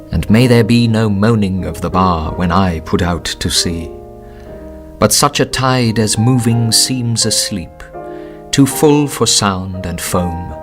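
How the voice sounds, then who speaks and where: A man reads a poem at a funeral in a very low, grave voice, taking each line slowly and deliberately. Clear guitar music plays behind him. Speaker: reader at a funeral. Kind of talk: poetry reading over music.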